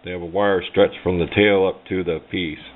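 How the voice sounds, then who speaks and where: A man with a fairly low voice talks casually through a homemade build. He is folksy and unscripted, rambling along as he explains. The sound is narrow and phone-like, as from a small camera mic. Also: steady tone, moderate pace, a little hiss or hum. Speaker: hobbyist demonstrating a homemade build. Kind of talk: casual unscripted how-to talk. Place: indoors at a workbench.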